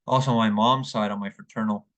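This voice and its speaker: A man's voice: on the low side, fairly even intonation, fast talker, a bit bright. A young American man from the Northeast presenting a class essay, hesitant, with many fillers and restarts. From northeastern United States. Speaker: student.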